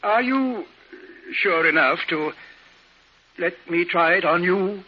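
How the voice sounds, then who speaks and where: An actor playing an eager, proud older scientist in a theatrical, hesitant 1950s radio drama style. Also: male voice, high voice, expressive, slow pace, heavily acted. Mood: excited, eager. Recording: muffled, noisy 1950s radio recording.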